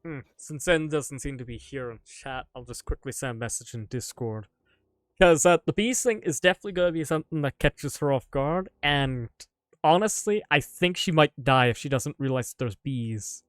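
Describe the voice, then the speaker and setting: A man's voice: medium pitch, normal speed, no room sound. A man streaming live from home, chatting casually and answering viewer questions with self-mocking jokes and frequent laughter.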